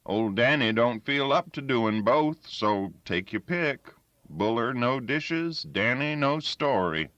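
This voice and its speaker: A man's voice: medium pitch, lively intonation, takes it slowly, fairly bright tone, dry recording, dull audio, voice pushed up. A man voicing a crafty old backwoods storyteller in a folksy rural dialect. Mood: wheedling. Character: crafty old backwoods male yarn-spinner.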